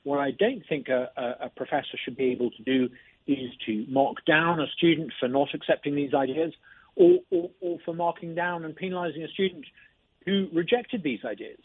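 Man, husky voice, phone-line sound, measured, repeats words. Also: a little high, animated, no echo. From not from Mississippi. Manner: measured, repeats words when starting sentences. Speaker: interview guest, state lawmaker. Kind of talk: phone interview. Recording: tinny phone line, dry.

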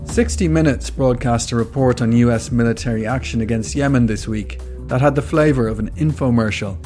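A man hosting a podcast with scripted narration, measured and formally worded. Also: medium pitch, darkish tone, faint music behind. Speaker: podcast host.

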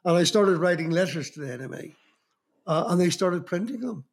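A man's voice: sits high, expressive, fairly quick, gravelly. A man from Belfast with a Belfast accent, in his fifties, recalling his start in music journalism in a rambling, anecdotal interview manner with many restarts. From Northern Ireland. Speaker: guest, magazine journalist. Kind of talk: reflective interview talk.

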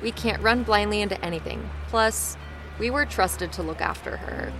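A woman plays a cautious, sensible survivor in a zombie audio drama. Her voice sits at a middling pitch and sounds firm. Music runs clearly behind it. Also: normal speed, lightly acted. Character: level-headed cautious female survivor, calmly reasoning.

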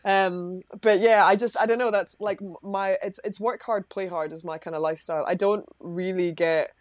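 A woman's voice: medium pitch, fairly even intonation, normal speed, no room sound. A woman being interviewed as a guest, talking casually in a rambling way, full of fillers and restarts. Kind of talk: casual chat.

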